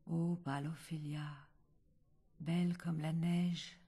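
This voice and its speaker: A woman reciting a poem in a hushed, half-whispered delivery. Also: sits low, flat delivery, slow pace, breathy edge.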